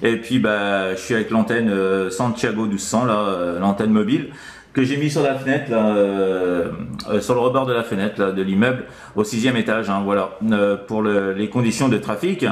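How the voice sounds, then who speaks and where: A man chatting casually as a radio operator from an apartment, with hesitant, halting phrasing. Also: on the low side. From France. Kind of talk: casual radio contact chat. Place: indoors, sixth-floor apartment. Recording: echoey room.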